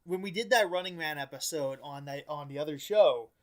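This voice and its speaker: A man's voice: fairly high pitch, brisk. A man chatting casually as a podcast co-host, rambling in stops and starts with plenty of filler words. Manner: rambling, filler-heavy, stop-and-start delivery.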